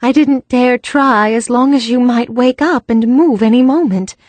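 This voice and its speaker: A plucky young American woman, voiced by a woman narrator, speaks earnestly with a faint worry underneath. Her voice sits higher than the narration, and the recording is dry and close. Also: unhurried, a little mellow, no echo, natural voice.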